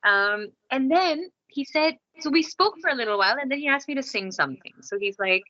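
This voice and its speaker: A woman from Canada, a singer and podcast guest, telling an animated anecdote and laughing often. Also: high voice, brisk, muffled recording. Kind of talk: casual anecdote in an interview.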